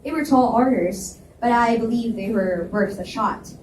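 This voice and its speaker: A woman's voice: on the high side, normal speed, darkish tone. Woman reading a story in an echoey room. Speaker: reader narrating a story. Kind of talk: live first-person story narration with dialogue. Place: indoor reading event at a library. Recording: echoey room, distant mic, faint noise.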